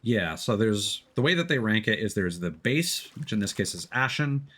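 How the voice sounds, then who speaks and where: A man casually explaining game mechanics on a gaming stream in a halting, rambling way. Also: fairly low pitch, animated, fairly bright tone, slightly rough.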